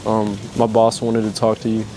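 A man's voice: lowish voice, completely flat delivery, normal speed, poor audio. A young man pranking people in casual conversation, straight-faced, insistent and repeating himself. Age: young adult. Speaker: prankster posing as store employee. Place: inside a large retail store. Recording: store location sound under music.

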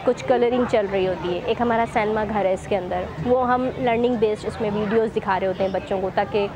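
A young woman volunteer talking conversationally in an outdoor interview among schoolchildren. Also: mid-range voice. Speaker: volunteer with children. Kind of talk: interview explanation. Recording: noisy outdoor crowd of children. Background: children's chatter.

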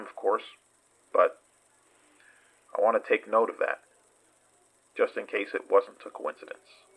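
A guarded investigator giving his statement in an audio drama. His voice sits fairly low with a forceful, crisp edge. He recounts things in measured, halting phrases. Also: low-quality recording. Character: guarded male investigator recounting a night's danger.

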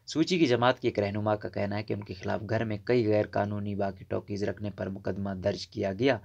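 A man's voice: lowish voice, vocal fry, dull audio. A man reading scripted news narration in a formal register.

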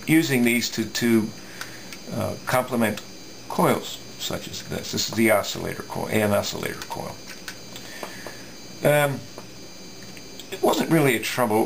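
A middle-aged man giving a casual hands-on technical explanation, thinking aloud haltingly with folksy asides. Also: lowish voice, vocal fry, a little hiss or hum, poor audio. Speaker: radio repair hobbyist presenting. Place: indoor electronics workbench.

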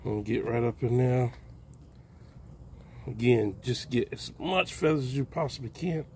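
An older man giving a relaxed, folksy how-to narration outdoors in a backyard while he works with his hands. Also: medium pitch, a little slow, slight background noise. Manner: folksy, relaxed, talks while plucking, calls out "baby". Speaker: backyard homesteader. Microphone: phone camera held close, hands-on filming.

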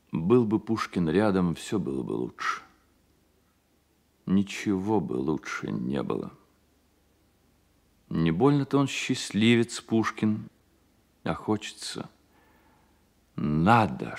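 An actor playing a weary, wounded, tender poet of about thirty, brooding and confessional, in a Soviet radio play. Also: male voice, low voice, gravelly, full character voice.

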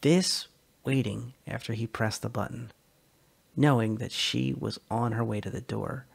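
A man narrating a science fiction short story as a dramatic reading, measured and expressive. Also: medium pitch, gravelly, breathy edge.

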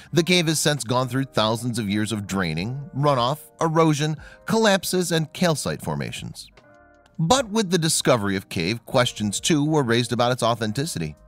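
A man reads a scripted documentary voice-over with a mid-range voice that rises and falls widely. His delivery is measured and expressive. Soft music plays faintly underneath. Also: medium pitch. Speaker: documentary narrator.

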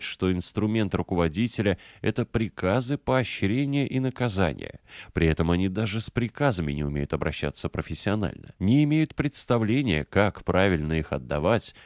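A man narrating a business audiobook, reading a prepared text in a measured, clearly articulated way. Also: sits low, darkish tone, dry recording, clean studio sound.

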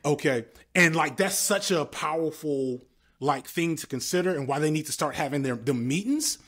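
A man's voice: fairly high pitch, normal speed, a bit bright. A man in his thirties on a headset video call, thoughtful and rambling with many fillers. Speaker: panel guest. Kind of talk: casual political chat. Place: home room, green wall. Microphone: headset, laptop video call.

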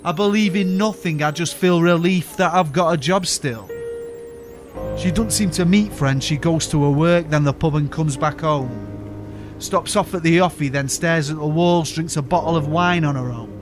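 A man with a Yorkshire accent recites rhyming spoken word verse in a steady, weary, detached cadence. His voice sits a little higher than most men's. A clear music bed runs underneath the studio voice-over. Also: moderate pace. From South Yorkshire, England.

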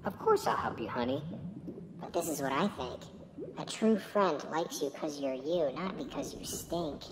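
A man's voice: a little high, normal speed, pitched above the performer's own voice, natural voice. A man voicing a creepy but caring witch's hut, a mother giving frank advice in a storybook. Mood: caring, sly. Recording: long reverb with noisy ambience.

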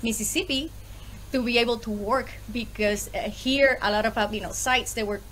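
A woman in her thirties from Puerto Rico speaks with a Puerto Rican accent. She sits in a room at home and talks over a video call, animated and often laughing, her voice a little high with faint hiss behind it. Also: moderate pace, rough recording. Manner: lively, laughs often, many fillers. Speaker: guest, small business owner. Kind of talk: casual interview on a video call.

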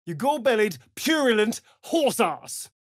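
An aristocratic English vampire rogue for a video game, sardonic and arch. He drawls in unhurried phrases, his pitch swooping theatrically. The sound is close and very dry. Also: very animated, no room sound. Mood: exclaiming. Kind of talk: video game character dialogue.

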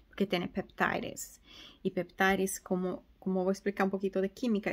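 A woman in her forties whose first language is Portuguese, chatting casually as an esthetician and dropping English words in as she explains. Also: mid-range voice. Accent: Brazilian Portuguese. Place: small skincare treatment room indoors. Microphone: phone camera at arm's length.

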